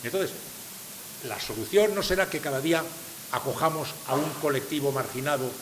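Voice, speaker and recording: A man's voice: fairly high pitch, normal speed. An older man from San Sebastián, Spain, giving a public lecture to a live audience, asking rhetorical questions and stressing his points. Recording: reverberant hall with faint hiss.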